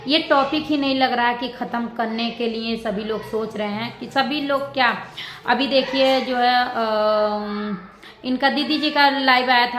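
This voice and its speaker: Female vlogger, higher-pitched, casual and repetitive commentary, roomy echo with some background noise. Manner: rambling, repetitive, halting. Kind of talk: casual reaction commentary addressed to viewers.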